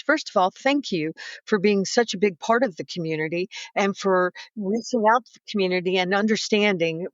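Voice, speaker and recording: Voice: mid-range voice, no room sound, highs cut off. An older American interviewer with an effusive, rambling, chatty way of talking, repeating words for emphasis. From United States. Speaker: podcast co-host, interviewer.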